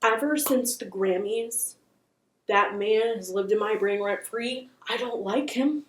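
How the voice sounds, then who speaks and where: A woman's voice: mid-range voice, measured pace, echoey room, slight background noise. A woman hosting a casual video commentary, chatty, opinionated and playfully dramatic in manner. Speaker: host of a channel on internet horror.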